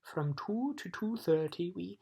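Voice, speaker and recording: A woman reading aloud, voicing lazy, sleepy little creatures who spend the day doing nothing, in a slow, drawling way. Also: lowish voice, expressive, creaky, warm tone, husky, heavily acted. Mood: drowsy.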